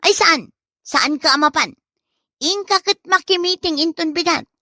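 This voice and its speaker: A woman's voice: very high voice, unhurried, sharp tone, dry recording, studio quality, full character voice. A woman playing a suspicious, nagging wife in an Ilocano comedy drama, scolding and exclaiming. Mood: exasperated scolding. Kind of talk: comedy radio-style drama acting.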